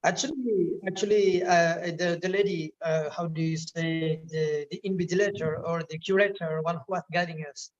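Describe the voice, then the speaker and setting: A man's voice: on the high side, fairly even intonation, moderate pace, hardly any pauses, muffled recording. A man, chatting casually and hesitantly with lots of fillers and restarts. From Kerala, India. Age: 20s. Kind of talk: casual chat.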